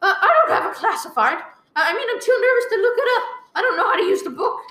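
A woman's voice: extremely high pitch, big pitch swings, fast, pushed and bright, full character voice. A woman playing a panicky, demanding invalid wife in a radio play, stammering and pleading. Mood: agitated, demanding. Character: frantic, demanding, self-pitying invalid wife alone at night. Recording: roomy echoing live recording.

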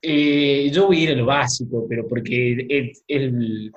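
A man chatting casually. He is relaxed and laughs often between his thoughts. His voice comes through echoey and a little dull, as if from a room with a cheap mic. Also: mid-range voice, unhurried. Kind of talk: casual podcast chat. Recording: roomy, muffled mic.

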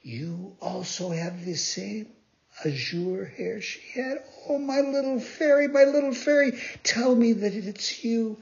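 A man voicing an impulsive wooden puppet boy who pleads and stammers in a high, whining voice. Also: normal speed, hardly any pauses, close to an everyday voice. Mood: tearful. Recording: dull lo-fi recording.

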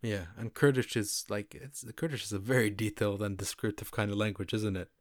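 Host, woman; exceptionally deep pitch, dry close sound; encouraging, asks follow-ups. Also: fairly even intonation, a little fast, dry recording. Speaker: podcast host. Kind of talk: casual interview conversation.

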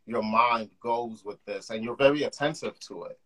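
Young male podcast host, husky tone, eager and loose delivery. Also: medium pitch, normal speed, pushed and bright. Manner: enthusiastic, talks in loose run-on phrases. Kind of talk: casual conversation. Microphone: call.

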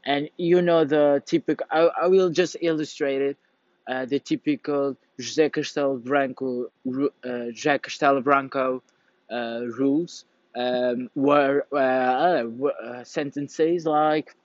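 Halting young Portuguese man, dull dry sound. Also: mid-range voice, fairly even intonation, dry recording. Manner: halting, searching for words, many restarts. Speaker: vlogger. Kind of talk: casual rambling monologue.

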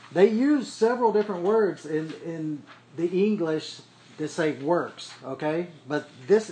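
A man's voice: a little high, darkish tone, slight background noise. Man teaching a casual Bible study. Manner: conversational, explains step by step, checks in with "okay?" and "right?". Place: room with a small group.

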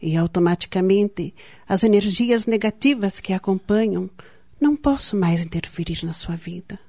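A woman playing a gentle young spiritist healer in a radio soap opera, giving measured, solemn counsel. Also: medium pitch, no echo, close to an everyday voice. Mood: solemn, admonishing. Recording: dull lo-fi audio with music.

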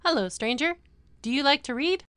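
A woman's voice: high-pitched, lively intonation, a little fast, a bit bright, no echo. A woman playing an eerie, relentlessly upbeat, unfazed spokeswoman in a scripted comic advertisement. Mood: cheerily unfazed. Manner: salesy, unfazed.

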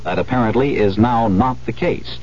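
A man's voice: mid-range voice, moderate pace, darkish tone. An American man reading a scripted radio news bulletin as a reporter. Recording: muffled, hissy 1970s radio recording.

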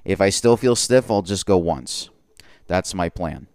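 A man's voice: animated, a little slow, darkish tone, clear, resonant tone, no room sound, studio quality. A man hosting his own podcast, talking in a rambling, earnest way and correcting himself often. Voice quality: voice lower than usual today. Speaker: podcast host. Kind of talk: solo podcast monologue.

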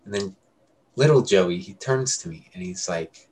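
A man's voice: deep voice, measured pace, a little mellow, poor audio. A young man telling stories in a casual, slangy chat with friends, as a podcast co-host. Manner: slangy, conversational. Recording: clear background noise and ambience.

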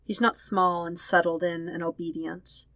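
A woman's voice: lowish voice, level tone, normal speed, fairly bright tone, no echo. A woman reading fan fiction aloud as a scripted story narration. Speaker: podfic narrator.

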